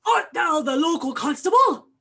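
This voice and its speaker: A woman's voice: very high voice, animated, normal speed, gravelly, bright, forceful tone, exaggerated voice. A woman acting a frantic, vengeful doctor hunting a murderer in a Shakespearean staged reading, with grand, declamatory delivery. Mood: urgent. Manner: declamatory, archaic diction, grandly dramatic.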